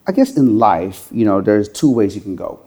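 Low gravelly-voiced middle-aged man from Iran. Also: expressive, normal speed, warm tone. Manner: earnest, frequent "you know" fillers. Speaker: podcast guest, gym franchise owner. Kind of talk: interview storytelling.

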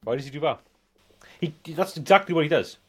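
A man in his forties hosting a casual film review podcast, talking in an animated, repetitive way and punctuating his points with "right?". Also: a little high, quick pace, breathy edge. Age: 40s. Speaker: podcast host.

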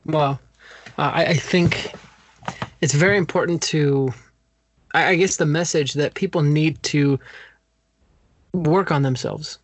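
A man's voice: a little high, a little slow, pauses often, dry recording. Hesitant man hosting a podcast, dry sound. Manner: hesitant, thoughtful, repeats words. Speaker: podcast host. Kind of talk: interviewing a guest.

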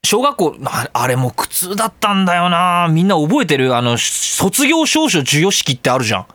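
A man in his thirties hosting a casual, comedic solo radio talk, rambling in an animated, jokey way with exclaimed asides. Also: high voice, moderate pace, no room sound. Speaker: radio show host.

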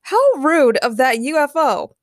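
A woman voicing a pompous, excitable male king in a children's story, speaking in emphatic exclamations. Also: sits high, moderate pace, no echo, studio quality, voice pushed up. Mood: indignant.

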